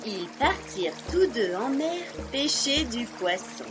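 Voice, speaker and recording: A woman narrating a scripted story for young children, expressive and carefully phrased. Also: a little high, takes it slowly. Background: music.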